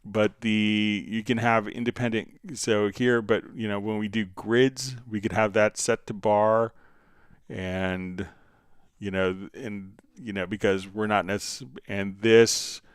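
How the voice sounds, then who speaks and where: Male tutorial host, resonant lower voice, unscripted and halting, recorded dry. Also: fairly even intonation, clear, resonant tone, no echo. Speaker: livestream host answering viewer questions. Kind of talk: unscripted software tutorial, casual explaining.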